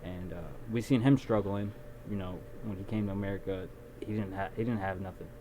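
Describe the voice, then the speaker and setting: A young man being interviewed about his video production company, talking in a relaxed, rambling way with lots of ums. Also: low-pitched, a little fast. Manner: relaxed storyteller, many ums and restarts. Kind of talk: casual interview. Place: small recording studio. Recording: noisy background.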